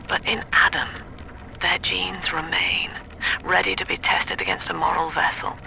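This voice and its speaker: An actress playing a cerebral utopian cult leader, delivering measured, lofty philosophy in a clinical, solemn way for a game audio log. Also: female voice, on the low side, level tone, vocal fry, bright, forceful tone, full character voice. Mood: cold, contemplative. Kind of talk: philosophical audio-diary monologue. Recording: noisy, hissy vintage audio log.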